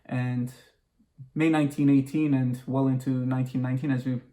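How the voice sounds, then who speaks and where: Male history expert guest, even intonation, medium pitch, measured and formal explanatory answers. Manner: measured, precise, formal wording.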